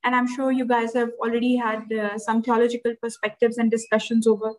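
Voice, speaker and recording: A woman's voice: a little high, flat delivery, fairly quick, poor audio. Friendly woman from Pakistan presenting. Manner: friendly, uses many fillers. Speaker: conference speaker. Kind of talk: conference presentation. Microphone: video call.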